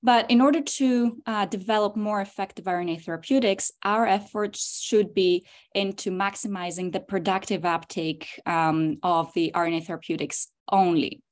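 Graduate researcher, woman, mid-range voice, calm webinar delivery over a call mic. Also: medium pitch, moderate pace, few pauses. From Greece. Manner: steady, explanatory, occasional um and uh. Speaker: graduate student presenter. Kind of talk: scientific webinar talk. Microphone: computer mic on a video call.

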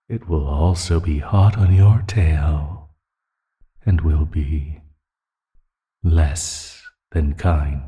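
Man voicing a very deep, taunting male naga. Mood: cold threat. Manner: slow, taunting, condescending, with soft chuckles and sighs. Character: teasing, menacing male naga predator of the forest. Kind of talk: ASMR roleplay audio drama. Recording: long hollow reverb.

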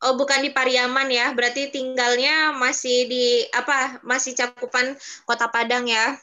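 A woman's voice: sits high, flat delivery, moderate pace, sharp tone. A woman in her twenties teaching students in a casual, chatty way over a video call. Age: 20s. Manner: chatty, addresses students as younger siblings. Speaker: webinar speaker.

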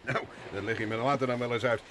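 Actor as an eccentric old recluse scientist, gruff then hearty, hissy vintage radio-play tape. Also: male voice, medium pitch, fairly even intonation, quick pace, fairly bright tone, lightly acted. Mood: jovial. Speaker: Professor O'Hara. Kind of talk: 1960s radio drama acting.

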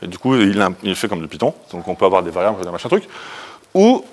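A man presents technical slides to a conference. His voice sits a little low and he talks very quickly, in a colloquial, hurried way that stays informal throughout. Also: sing-song. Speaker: conference speaker. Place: indoor presentation room, standing by a projection screen.

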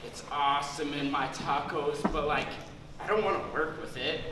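A young man playing a high-voiced slacker metalhead in echoey theater. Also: steady tone, normal speed, close to an everyday voice. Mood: proud. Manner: slangy slacker talk. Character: laid-back young male metalhead slacker working at a store. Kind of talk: stage comedy acting. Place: school theater stage. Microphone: distant camera in the audience. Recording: echoey theater stage from far off, noisy.

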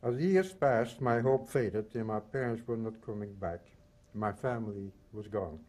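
A man in his eighties from the Netherlands and Belgium, reading a prepared personal testimony in measured, solemn short phrases. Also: on the low side, darkish tone. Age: 80s. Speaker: Holocaust survivor giving testimony.

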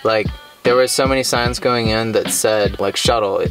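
A man's voice: on the low side, steady tone, normal speed, over loud music. A young man vlogging a road trip outdoors, joking and laughing often as he chats. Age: young adult. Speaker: travel vlogger. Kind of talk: casual chat. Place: outdoors at a trailhead parking lot. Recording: noisy outdoor camera audio.

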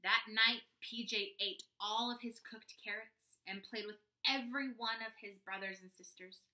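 A young woman, a kindergarten teacher, reading a children's storybook aloud with deliberate, dramatic emphasis. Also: fairly high pitch, steady tone, bright, forceful tone, poor audio.

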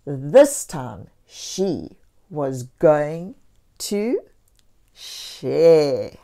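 A woman storyteller reading a picture book aloud to young children, expressively and deliberately, with encouraging asides. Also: low-pitched, big pitch swings, vocal fry, dark tone. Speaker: children's storyteller and channel host.

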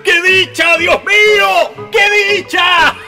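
An actor playing an excitable, stammering Chilean shopkeeper in a theatrical radio play. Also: male voice, very high-pitched, takes it slowly, soft background music, exaggerated voice. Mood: gleeful. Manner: stammering, repeating words. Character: excitable, gleeful married male shopkeeper, Don Serafín.

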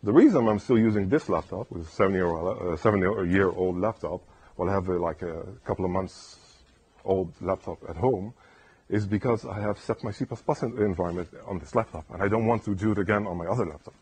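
Man, conference speaker, low voice, very husky, soft and soothing, rambling, says "right?" a lot. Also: fairly quick. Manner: rambling, keeps asking "right?". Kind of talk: casual technical talk. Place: lecture room.

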